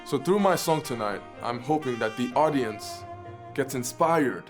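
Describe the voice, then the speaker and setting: Man, musician, earnest and reflective, mid pitch, guitar bed behind. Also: a little slow. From Haiti. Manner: earnest, reflective, repeats phrases for emphasis. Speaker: saxophonist. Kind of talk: recorded personal interview.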